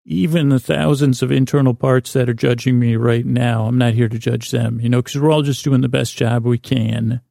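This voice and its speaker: A man hosting a bedtime podcast with a creaky voice, rambling in a halting, self-deprecating way that wanders and breaks off mid-word. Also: mid-range voice, fairly even intonation, mellow, no echo, clean studio sound. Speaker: podcast host. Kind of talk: rambling bedtime monologue to help listeners sleep.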